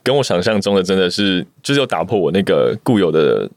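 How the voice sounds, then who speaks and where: A man with a deep voice and a Taiwanese Mandarin accent talks quickly in a casual co-host style. His pitch moves in an animated way. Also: gravelly, dry recording, clean studio sound. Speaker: podcast co-host. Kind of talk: casual chat.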